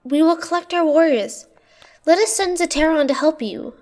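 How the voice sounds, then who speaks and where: Casting: actress as nervous court adviser, extremely high voice, deferential and pleading, fantasy audio drama. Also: female voice, normal speed, a little mellow. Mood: anxious, pleading. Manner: cautious, pleading. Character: anxious, deferential royal adviser. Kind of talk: fantasy audio roleplay acting.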